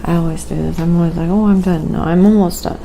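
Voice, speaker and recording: A soft-spoken, sometimes whispery woman chatting casually on an ASMR painting livestream, musing aloud in an unhurried way. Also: lowish voice, steady tone, gravelly, slight background noise.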